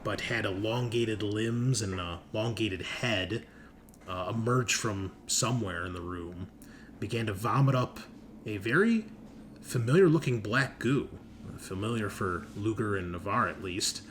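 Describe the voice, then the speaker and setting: A man's voice: on the low side, measured pace, fairly bright tone. A man running a tabletop game as game master, narrating off the cuff in an informal, casually sweary way. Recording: clearly noisy room recording.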